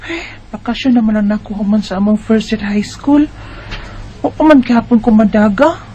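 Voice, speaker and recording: A woman's voice: a little high, normal speed, warm tone, close to an everyday voice. A woman with a Bisaya Filipino accent playing a chatty, carefree schoolgirl of about twelve in a radio drama. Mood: worried, whiny. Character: Maria, carefree schoolgirl around twelve who loves snacks. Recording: music under the voice.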